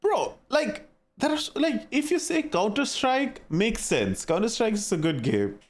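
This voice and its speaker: A man's voice: high-pitched, big pitch swings, measured pace. A young man from India with an Indian accent, chatting casually with his live-stream viewers and reacting to their messages.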